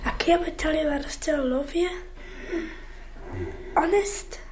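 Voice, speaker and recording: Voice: high-pitched, measured pace, a little mellow. A performer playing an earnest young boy with a Geordie accent who believes he is an angel, pleading and questioning in a radio drama. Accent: Geordie, north-east England. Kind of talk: naturalistic radio drama acting. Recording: roomy sound with faint background noise.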